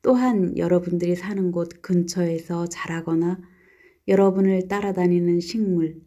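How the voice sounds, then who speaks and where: Woman, book reading, calm, gentle, even, lowish voice, mellow tone. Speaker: reader of a nonfiction book.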